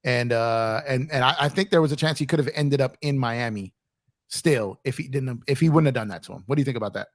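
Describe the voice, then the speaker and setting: An American man hosts a casual sports stream, with a hint of New York in his speech. He talks in slangy, animated, repetitive runs. The sound is very dry, with no room to it. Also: mid-range voice, fairly quick, dry recording. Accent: American with a touch of New York. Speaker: sports talk show host. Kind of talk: casual sports chat on a livestream.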